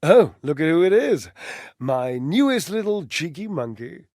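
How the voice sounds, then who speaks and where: An actor voicing a teasing, flamboyant socialite in a video game, playful, theatrical and gleefully condescending. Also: male voice, a little high, big pitch swings, a little slow, darkish tone, no echo, clean studio sound. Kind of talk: video game character acting.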